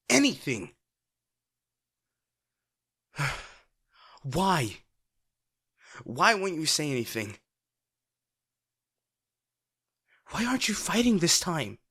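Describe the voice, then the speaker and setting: A young man playing a brash teenage bully with a secretly caring side in a dramatic audio roleplay, speaking gruffly with a husky, breathy voice, swearing and sighing. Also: fairly high pitch, fairly bright tone, full character voice. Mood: desperate, pleading. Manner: gruff, swearing, sighing, halting when upset.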